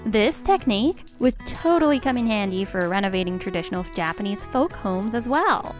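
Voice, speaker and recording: A woman's voice: mid-range voice, expressive, moderate pace, natural voice. A woman acting a sweet, admiring young designer in an anime-style manga dub. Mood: amazed. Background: music.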